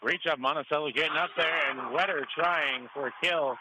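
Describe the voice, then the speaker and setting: A man's voice: fairly high pitch, moderate pace. A man doing live radio play-by-play of a volleyball match in clipped running calls from courtside in a gym. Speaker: radio play-by-play sports announcer. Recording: clear gym noise behind live radio call. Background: noisy gym ambience.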